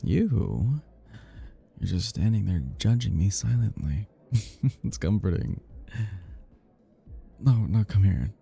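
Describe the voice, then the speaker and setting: A man playing a cocky, flirty, tipsy male best friend in an intimate romantic ASMR roleplay, teasing in a slow, breathy, gravelly murmur that drifts into whispers. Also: very animated, mellow, faint music behind, exaggerated voice. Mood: teasing, amused. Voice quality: breathy, husky, gravelly low voice.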